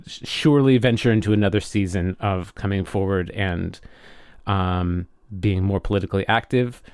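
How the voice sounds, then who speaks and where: A man chatting casually and reflectively as a podcast co-host, often halting as he searches for words. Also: low voice, clear, resonant tone, no echo, clean studio sound.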